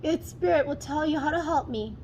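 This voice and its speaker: Scheming storybook stepmother, played by a female narrator: raised pitch, melodramatic complaints, bossy, noticeable noise on the recording. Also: fairly quick, a little mellow. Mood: feigning illness. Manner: melodramatic, demanding. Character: scheming, complaining second wife, jealous stepmother.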